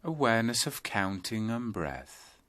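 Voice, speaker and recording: A man leading a guided yoga meditation, giving deliberate instructions phrase by phrase with pauses between them. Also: fairly low pitch, very animated, fairly bright tone.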